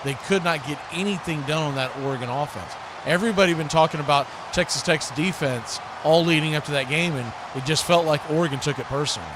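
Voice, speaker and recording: Over clearly noisy live-stream audio, an American man calls a football game as host and play-by-play commentator. His voice sits a little high for a man. He talks in a loose, folksy, conversational style, without a script. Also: quick pace, hardly any pauses, a crowd in the background. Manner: conversational, folksy, rises to excited shouts on big plays.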